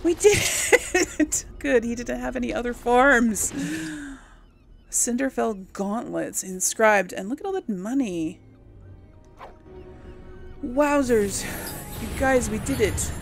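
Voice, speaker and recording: A woman commenting casually as she plays a game. Her voice is fairly high and crackly at the edges, unhurried but excitable, and she talks to herself and her characters over game music. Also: creaky. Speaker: gamer playing and role-playing for an audience.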